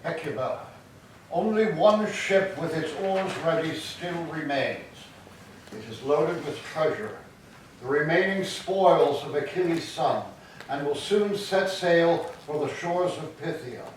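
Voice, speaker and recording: A man's voice: a little high, lively intonation, takes it slowly. Man acting a solemn herald, very echoey. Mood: solemn, sorrowful. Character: sorrowful male Greek herald bringing grim news. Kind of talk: staged reading of Greek tragedy. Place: church, staged reading. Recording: very echoey hall, distant mic, some noise.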